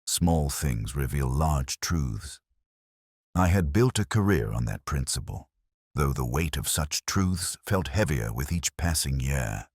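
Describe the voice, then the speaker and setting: A man voicing a weary, melancholy older police detective in a sombre, reflective, measured first-person mystery narration. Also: very deep voice, lively intonation, darkish tone, husky, no room sound, studio quality, heavily acted.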